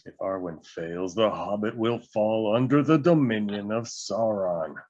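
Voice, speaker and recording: A man's voice: fairly low pitch, a little slow, a little mellow, muffled recording, lightly acted. A man playing a grave, urgent wise counsellor in a fantasy table read, dramatic and portentous. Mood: stern, urgent.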